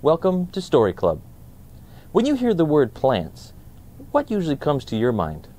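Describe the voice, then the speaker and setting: Mellow-voiced man reading for children. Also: a little high, wildly swooping pitch, normal speed. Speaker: host of a children's read-aloud channel. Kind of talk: read-aloud nonfiction storytelling for children.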